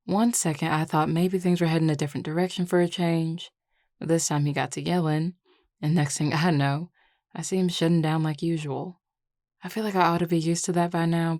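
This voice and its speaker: Flirty Southern US werewolf played by a woman, low-pitched, drawling and affectionate, close dry sound, intimate audio roleplay. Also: darkish tone, no echo, lightly acted. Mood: confiding, rueful. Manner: drawling, teasing, sighing, casual and affectionate. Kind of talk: intimate audio roleplay, one-sided conversation.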